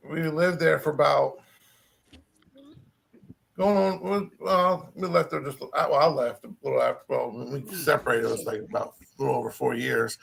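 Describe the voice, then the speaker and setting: A man's voice: medium pitch, gravelly, fairly bright tone. A man from the American South, born in Maryland, telling rambling stories in a casual group chat and often correcting himself mid-sentence. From born in Maryland, grew up in Louisiana. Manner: rambling, self-correcting storyteller. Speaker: chat participant.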